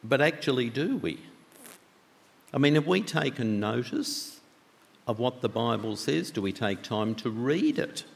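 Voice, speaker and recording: A man preaching a sermon with a loud voice, talking conversationally and asking the congregation many questions. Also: medium pitch, animated, measured pace, gravelly. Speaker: pastor. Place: church. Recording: long church reverb.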